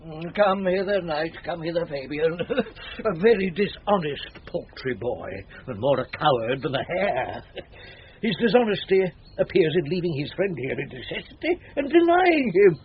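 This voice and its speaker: An actor playing a boisterous, mischievous older knight with hearty bluster in a comic Shakespearean radio play. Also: male voice, a little high, very animated, a little slow. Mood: amused. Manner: hearty, blustering, chuckling, hesitating for effect. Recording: dull 1970s radio recording, faint noise.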